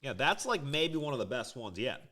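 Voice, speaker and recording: Male co-host, animated intonation, chatty and playful, informal food-review banter. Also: mid-range voice, a little fast. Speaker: podcast co-host. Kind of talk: casual chat reviewing snacks.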